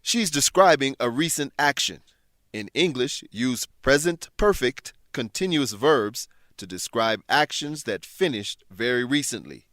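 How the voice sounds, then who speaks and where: A man hosting and narrating a scripted language lesson, explaining things in a clear, measured teacher's manner. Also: medium pitch, lively intonation, fairly bright tone, no echo, clean studio sound. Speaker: host and English teacher.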